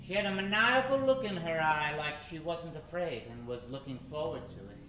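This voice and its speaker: Echoey old-time radio narrator guy, slow and dramatic, music behind. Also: on the high side, expressive, sharp tone. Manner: dramatic, theatrical. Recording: echoey stage recording with music.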